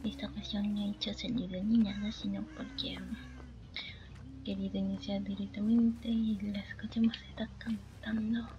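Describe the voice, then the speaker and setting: A woman's voice: medium pitch, flat delivery, moderate pace, dull audio. A woman giving casual gaming commentary, murmuring to herself and dropping in English interjections. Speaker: gaming channel host. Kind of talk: casual horror game commentary. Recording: clearly noisy, game sounds behind. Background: game ambience.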